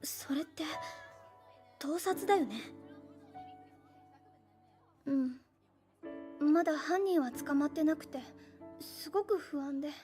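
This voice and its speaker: Woman voicing a very high, soft anime schoolgirl. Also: moderate pace, long pauses, faint music behind, close to an everyday voice. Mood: anxious, confiding. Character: teenage schoolgirls, earnest, gentle, anime game. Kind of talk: Japanese anime game voice acting.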